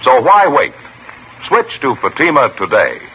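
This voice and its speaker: A man announcing a 1950s radio commercial with an upbeat, persuasive sales pitch. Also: huge pitch swings, unhurried, sharp tone, slightly rough. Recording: dull old radio broadcast with hiss.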